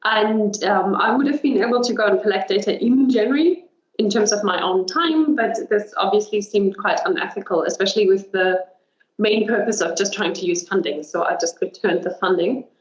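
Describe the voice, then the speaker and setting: A woman's voice: medium pitch, normal speed. A young woman, a linguist whose first language is German, giving a webinar talk with many ums and a frequent "right?". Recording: roomy, dull video call audio.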